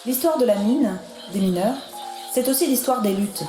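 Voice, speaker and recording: A woman's voice: a little high, animated, normal speed. A woman reading scripted radio narration in an echoey room, with music behind her.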